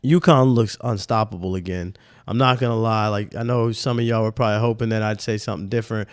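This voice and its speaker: A man's voice: lowish voice, quick pace, clean tone, no echo, clean studio sound. A young man with a slightly nasal voice, hosting a sports podcast in loose, rambling casual talk. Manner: loose, animated, rambling with slang.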